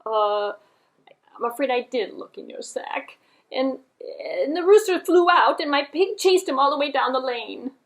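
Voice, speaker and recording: A woman's voice: sits high, lively intonation, normal speed, pitched above the performer's own voice. A woman voicing a genteel, agreeable, apologetic lady in a puppet show, with a gracious, airy manner.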